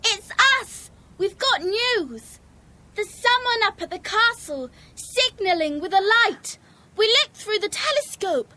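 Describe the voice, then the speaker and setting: Bright, very high excitable schoolgirl. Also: slow pace, full character voice. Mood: excited. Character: excitable, indignant schoolgirl amateur sleuth. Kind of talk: children's audio drama acting.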